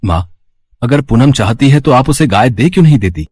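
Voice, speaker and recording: A man voicing an earnest young married son with a husky voice, placating and persuasive, in a cartoon moral story. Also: mid-range voice, expressive, moderate pace, a little mellow. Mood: pleading.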